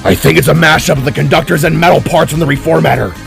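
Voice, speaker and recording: A man voicing an earnest older brother, a dark-matter hero calling out urgently in a fantasy story. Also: on the high side, quick pace, gravelly, faint music behind, voice pushed up. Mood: tense, explaining.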